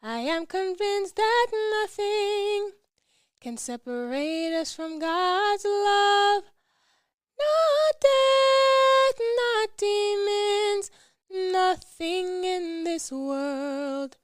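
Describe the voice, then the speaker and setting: A woman sings a devotional song in a very high voice. The words are stretched out very slowly. The sound is close and dry, with soft music behind. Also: dry recording. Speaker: singer. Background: faint music.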